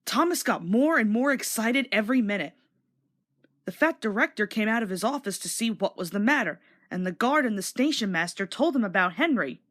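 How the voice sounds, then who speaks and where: A woman narrating a children's storybook aloud in an expressive, scripted read-along style. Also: a little high, brisk.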